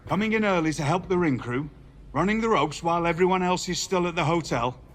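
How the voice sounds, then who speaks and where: A stern, paternal older male boss, acted by a man in a wrestling video game. His voice sits a little high for a man, and he speaks formally and measuredly, admonishing like a mentor. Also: fairly quick, lightly acted. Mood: stern, challenging. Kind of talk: dramatic video game cutscene dialogue.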